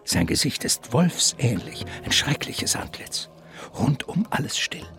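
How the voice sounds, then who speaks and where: An actor narrating a mock gothic mystery radio play in a theatrical, savouring, suspenseful literary style. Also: male voice, medium pitch, sing-song, a little slow, creaky, fairly bright tone, in a whisper, soft background music, exaggerated voice.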